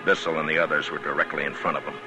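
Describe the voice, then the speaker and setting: A man's voice: rumbling low, very fast, rough recording. An American man playing a stoic, terse frontier marshal who also narrates, in 1950s radio western style. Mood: grim, reflective. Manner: terse, deadpan, commanding. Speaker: lead actor and first-person narrator. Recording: vintage 1950s radio drama recording, music behind.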